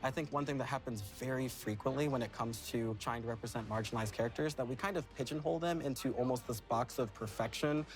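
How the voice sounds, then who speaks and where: A man's voice: medium pitch, fast talker, few pauses, faint music behind. A man speaking articulately and emphatically as a game developer in a promo interview.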